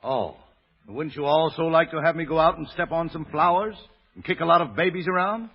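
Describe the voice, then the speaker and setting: A man's voice: on the high side, fairly quick, husky. A male actor playing a florid, pompous, sentimental old pool hustler in a vintage radio drama. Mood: pleading, earnest. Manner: pompous, flowery, theatrical. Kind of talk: 1930s-40s American radio drama acting. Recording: muffled, dull vintage radio recording.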